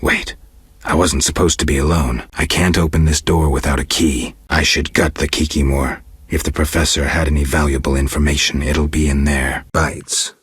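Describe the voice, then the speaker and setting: An extremely deep, very raspy voice for a gruff monster slayer in a video game. He speaks in clipped, deadpan phrases with dry sarcasm. The sound is close and dry, with no room to it. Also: normal speed, no echo, heavily acted. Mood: wry, brooding. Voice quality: very husky, gravelly rasp. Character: gruff, laconic male monster hunter for hire, dryly sardonic. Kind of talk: video game dialogue acting.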